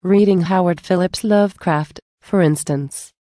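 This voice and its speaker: A woman's voice: lowish voice, measured pace, no echo, studio quality, natural voice. A woman playing an eerie, knowing, wistful figure in a gothic horror audio drama, with solemn, dreamy, literary phrasing. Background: dark horror music soundtrack.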